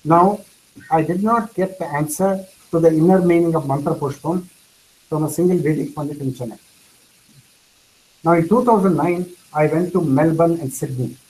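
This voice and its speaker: A man's voice: on the high side, normal speed, a little mellow, rough recording. A man whose first language is Tamil, lecturing earnestly to an audience and repeating phrases for emphasis. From India. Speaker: speaker. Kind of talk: lecture answering questions.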